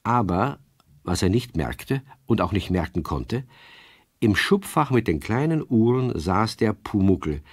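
A man's voice: on the low side, sing-song, unhurried, breathy edge, no room sound, clean studio sound. A man narrating a scripted children's radio play in a storytelling style.

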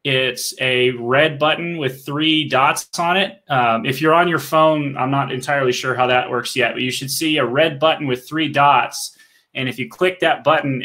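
A man's voice: medium pitch, pushed and bright. A man in his thirties hosting a live swim clinic stream from home on a headset, loose and chatty, talking to his viewers. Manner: chatty, loose, with fillers, addresses the chat. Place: home room, video call.

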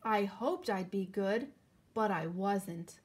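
A woman voicing an eager, well-meaning male pet dog from a picture book, earnest and drawn-out. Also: medium pitch, breathy edge, voice pushed down. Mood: sheepish.

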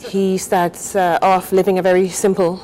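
An older woman from Guyana, a novelist, talking and reading aloud in a measured, thoughtful way on a TV studio set. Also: lowish voice, flat delivery, crowd noise, poor audio. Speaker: novelist guest.

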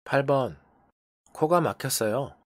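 A teacher giving a language lesson, briskly prompting numbered phrases in turn. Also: medium pitch, lively intonation, dry recording, studio quality. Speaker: English teacher.

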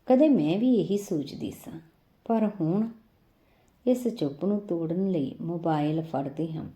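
A woman's voice: fairly low pitch, measured pace, dark tone. A woman reading an emotional first-person short story as a scripted audiobook narration.

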